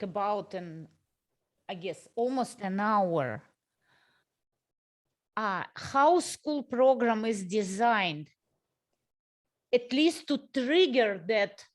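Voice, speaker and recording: A woman who is not a native English speaker, hosting a reflective conversation in an earnest way, repeating phrases for emphasis. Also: a little high. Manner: slow, earnest, repetitive emphasis. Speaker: host.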